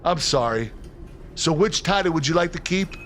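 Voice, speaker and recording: A man playing a polite, businesslike wrestling official in a video game cutscene, measured and matter-of-fact. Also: a little high, animated, fairly bright tone, slight background noise. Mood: polite, apologetic. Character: businesslike male wrestling official, apologetic rule-follower.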